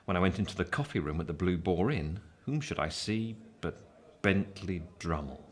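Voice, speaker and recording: Male actor as gentleman narrator, English accent, deep low voice, earnest, scripted literary storytelling. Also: lively intonation, moderate pace. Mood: sober recollection. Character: earnest gentleman narrator recalling his own life.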